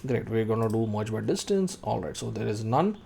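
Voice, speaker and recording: A man in his thirties talks through a 3D modeling tutorial, calling out shortcuts and musing aloud as he works. His pitch moves up and down a lot. Faint typing and desk noise sit under his voice. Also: lively intonation, brisk, vocal fry, mellow. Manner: thinking aloud while working, calling out shortcuts. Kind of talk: screen-recorded software tutorial.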